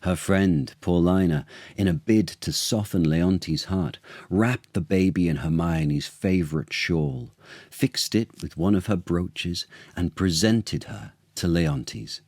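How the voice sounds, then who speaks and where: A man reading a children's story as dramatic narration, deliberate, expressive and theatrical. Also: sits low, no echo, clean studio sound.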